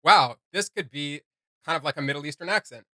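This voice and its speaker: A man hosting a reaction video, chatting casually like an excited, slangy fan. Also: a little high, fairly quick, no echo. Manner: enthusiastic fan, slangy, hesitant phrasing.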